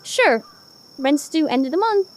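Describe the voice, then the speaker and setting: A woman playing an upbeat, bossy young woman who leads her friends in an audio drama, with a brisk, teasing manner. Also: sits high, expressive, slight background noise. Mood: reserved. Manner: brisk, teasing, take-charge. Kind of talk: animatic audio drama acting.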